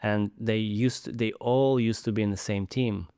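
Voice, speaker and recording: A man's voice: fairly low pitch, steady tone, moderate pace, mellow, smooth, dry recording, studio quality. A man, a podcast guest talking earnestly in casual conversation and often repeating words as he searches for them. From Ukraine. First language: Ukrainian.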